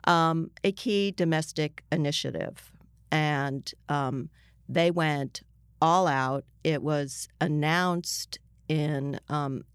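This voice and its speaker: An older American woman, a journalist being interviewed, explaining things step by step with asides in a measured way. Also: low-pitched, smooth, no echo, studio quality.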